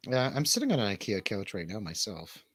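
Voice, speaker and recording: Male host for casual interview chat, lively intonation with a husky low voice, recorded dry. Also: a little fast, dry recording. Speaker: livestream show host. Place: home studio, seated on a couch.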